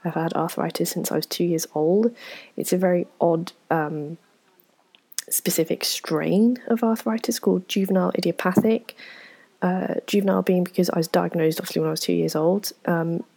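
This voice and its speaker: Young woman, twenties, husky voice, mellow tone, frank and rambling, whispery asides. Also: on the low side, fairly even intonation, moderate pace. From England. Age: 20s. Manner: frank, self-deprecating, rambling with many ums and soft whispery asides. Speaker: vlogger answering viewer questions. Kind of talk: casual Q&A chat to camera.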